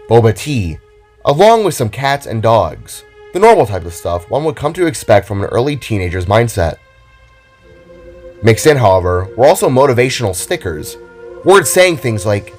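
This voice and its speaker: A man narrating a scripted story in a dramatic, deliberate and theatrical way. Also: mid-range voice. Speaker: narrator and author.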